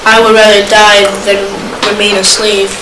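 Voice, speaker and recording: A woman's voice: medium pitch, completely flat delivery, moderate pace, lightly acted. A woman in an amateur readers' theater, reading the part of an earnest young enslaved man who yearns for freedom. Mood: defiant. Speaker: student actor. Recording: echoey room from far off, noisy.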